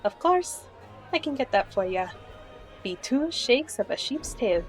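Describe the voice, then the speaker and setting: A woman's voice: on the high side, sing-song, unhurried, soft background music. A woman playing a friendly, wry bartender in a fantasy audio roleplay, chatty and familiar in manner. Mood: welcoming, folksy.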